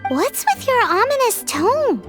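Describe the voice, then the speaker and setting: A woman's voice: extremely high pitch, big pitch swings, unhurried, exaggerated voice. A woman voicing a tiny, cheeky, childlike fairy sidekick in a video game, exclamatory and chirpy. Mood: cheeky, skeptical. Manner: speaks of self in third person, exclamatory. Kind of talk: English video game voice acting. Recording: music playing behind the voice.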